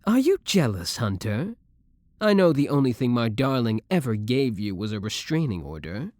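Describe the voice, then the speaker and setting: A man's voice: medium pitch, big pitch swings, moderate pace, darkish tone, dry recording, natural voice. A man playing a jealous, menacing young boyfriend in an audio roleplay, biting with his rival and tender with the one he adores. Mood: indignant, boastful. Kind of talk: scripted romantic audio roleplay.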